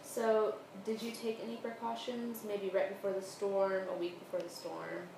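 A woman acts as interviewer, putting prepared questions in an oral history style. Her voice is average in pitch. She is recorded in a roomy, echoing space with noticeable background noise. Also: little pitch movement, moderate pace, creaky. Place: room in interviewee's home.

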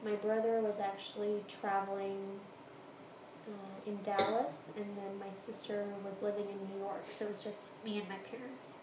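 American woman chatting through interview answers, medium pitch, roomy echo and noticeable noise behind her. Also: level tone, normal speed. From Houston area, Texas, United States. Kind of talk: casual interview answers, recounting personal experience. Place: room in a home.

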